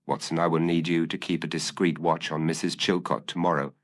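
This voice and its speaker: A man voicing a brilliant, commanding male detective with calm, incisive, formal deductions in an audio mystery story. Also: low-pitched, fairly quick. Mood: grave, decisive. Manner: crisp, formal, declarative deductions.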